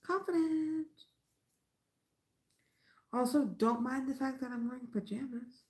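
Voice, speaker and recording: A woman's voice: sits high, a little slow, darkish tone. A woman hosting a crochet livestream in casual late-night chat, chatty and playful, with a slightly stuffy nose. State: stuffy nose from a mild cold. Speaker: crochet channel host.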